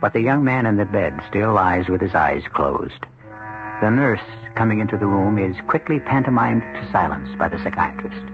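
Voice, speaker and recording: A man hosting and narrating a 1970s radio drama in a grave, measured, dramatic manner. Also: fairly low pitch, lively intonation. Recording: lo-fi old radio, music behind.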